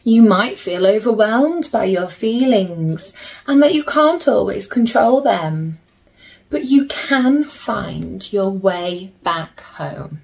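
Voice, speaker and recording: A woman in her thirties reading a picture book aloud to children in a gentle, deliberate manner, with chatty asides. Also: mid-range voice, animated, creaky, rough recording. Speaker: children's story reader. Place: small plain room indoors.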